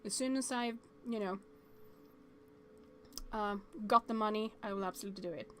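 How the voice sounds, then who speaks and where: A woman streaming a game with casual, expressive commentary, thinking aloud and reading on-screen text as she goes. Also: mid-range voice, normal speed, a little hiss or hum.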